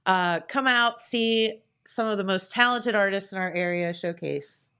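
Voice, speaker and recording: A woman's voice: mid-range voice, unhurried, pushed and bright, clear, resonant tone. Cheerful local TV host, woman, bubbly chat and weather reads. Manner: bubbly, upbeat, laughs easily. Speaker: morning show host. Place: local TV studio.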